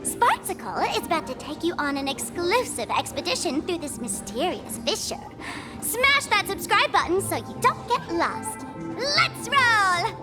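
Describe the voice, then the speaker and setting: A very high, cutesy young woman streamer from a video game, theatrical and teasing. She swings widely in pitch and loves herself loudly, a masked trickster with music playing behind her. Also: very animated, unhurried, exaggerated voice. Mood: perky, showy. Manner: theatrical, teasing, self-adoring. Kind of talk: animated video game voice acting.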